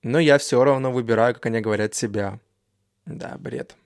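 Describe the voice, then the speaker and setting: A man's voice: mid-range voice, moderate pace, creaky, dry recording. Man with dry, slangy reaction commentary. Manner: dismissive, slangy, lots of fillers. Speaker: reaction video host.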